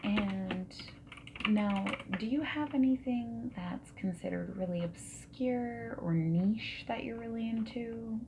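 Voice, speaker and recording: Soft breathy asmr lady, slow and friendly, whispers a lot, asking gentle questions, typing clicks and hiss in the background. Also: a little high. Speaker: ASMR roleplayer as a dating service interviewer. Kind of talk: soft-spoken ASMR roleplay. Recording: steady noise, keyboard typing and clicks. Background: mechanical keyboard typing and clicks.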